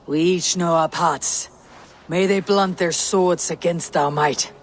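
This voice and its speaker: An actor playing a fierce, vengeful Viking warrior leader in a video game cutscene, commanding and grave, with a gravelly voice. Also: male voice, on the high side, steady tone, slow, slight background noise. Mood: resolute, commanding.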